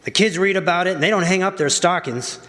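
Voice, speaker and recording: A man plays a wisecracking political fixer from an old radio play. The voice is fairly high, folksy and pushy in its persuasion, carrying through a reverberant hall. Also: fast talker, a bit bright. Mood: cajoling, sardonic. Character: shrewd, wisecracking political adviser, old friend. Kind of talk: old-fashioned radio drama acting. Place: live performance on a college campus. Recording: reverberant hall stage recording.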